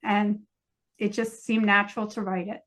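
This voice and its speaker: A woman's voice: mid-range voice, little pitch movement, moderate pace, a bit bright. A woman speaking casually as a novelist guest in an interview.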